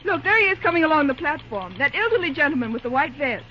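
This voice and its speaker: A woman's voice: high-pitched, big pitch swings, fast talker, sharp tone, exaggerated voice. An actress playing an earnest, spirited young American railroad woman in old-time radio drama style. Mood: surprised, curious. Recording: dull, noisy vintage radio recording.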